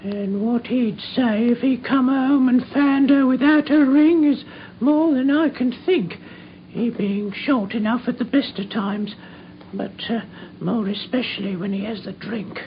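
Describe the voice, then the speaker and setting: A woman's voice: a little high, a little slow, close to an everyday voice. An actress playing a feeble, anxious old working-class woman in a radio drama, rambling on in a wheedling, deferential way. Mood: anxious, garrulous. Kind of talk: British radio drama acting. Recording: dull radio drama recording with noise.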